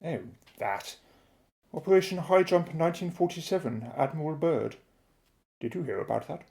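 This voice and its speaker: A man acts out a middle-aged British inventor in an audio drama. His voice is gravelly with fry, and he explains his daring schemes in a clipped, declamatory way, self-assured and a little secretive. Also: on the high side, animated, moderate pace, a little mellow. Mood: musing, wary.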